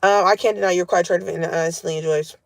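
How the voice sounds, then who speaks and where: A woman vlogger talking in a loose, rambling monologue full of fillers, her voice lowered from smoking cigars. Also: steady tone, racing, vocal fry, no room sound.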